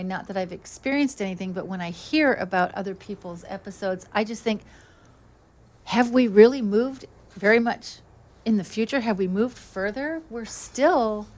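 A woman's voice: medium pitch, moderate pace. A woman in casual conversation, speaking in an agreeable, reflective way.

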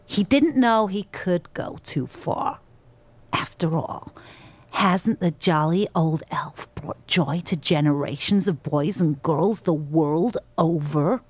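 Woman playing furious feminist reindeer, American accent, slow emphatic delivery, theatrical monologue, close dry sound. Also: deep voice, darkish tone, husky, no room sound, full character voice. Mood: indignant. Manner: indignant, deliberate, emphatic. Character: angry feminist female reindeer making accusations about Santa.

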